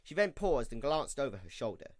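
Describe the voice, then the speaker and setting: A man's voice: medium pitch, big pitch swings, a little fast. A man reading scripted story narration as the narrator of an audio drama.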